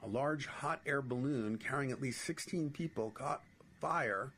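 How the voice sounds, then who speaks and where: Man, middle-aged, New York accent, essayist reading aloud, mid-pitched voice, faint background noise. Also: a little slow, fairly bright tone. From New York City. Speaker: writer and podcast host.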